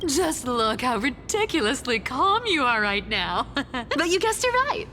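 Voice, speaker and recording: Cocky trickster demigod gal for a game, swoopy teasing delivery, music underneath. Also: female voice, mid-range voice, expressive, a little slow, a bit bright, a little hiss or hum. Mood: playful, confident. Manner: sly, boastful, mocking. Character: cocky, teasing female demigod trickster and self-styled old-timer. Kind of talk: video game voice acting.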